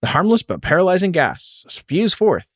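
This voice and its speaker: A man's voice: sits high, big pitch swings, normal speed, fairly bright tone, no room sound, clean studio sound, pitched above the performer's own voice, full character voice. A man voicing a dramatic narrator of a pulpy superhero comic, read aloud melodramatically.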